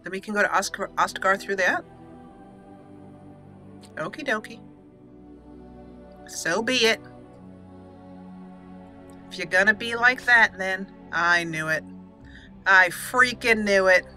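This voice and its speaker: Bright-voiced woman doing chatty game commentary. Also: mid-range voice, takes it slowly, pauses often, faint music behind. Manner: playful, chatty, talks to herself and the game. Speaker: gaming video host. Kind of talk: casual let's play commentary.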